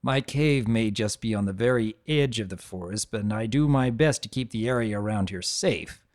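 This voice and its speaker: A man's voice: fairly low pitch, moderate pace, a little mellow, no echo, clean studio sound, natural voice. A man playing an easygoing, avuncular adult dragon who tells his own story with wry, conversational asides. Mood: firm, reassuring. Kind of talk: first-person dramatic reading of fan fiction.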